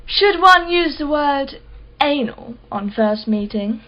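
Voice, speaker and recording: Radio drama casting: wealthy female collector played by a woman, higher-pitched, imperious, sardonic and sceptical, slightly muffled recording. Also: expressive, takes it slowly. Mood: wry, playful. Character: haughty, sharp-tongued wealthy female art collector, sceptical. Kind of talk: radio play acting.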